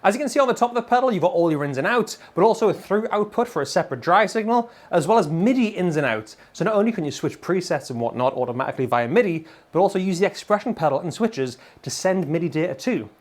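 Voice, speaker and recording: A man in his thirties giving an excitable, informal gear demo as a guitar reviewer. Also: high voice, fast, few pauses. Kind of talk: enthusiastic casual product demo. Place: indoor guitar studio room. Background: electric guitar playing.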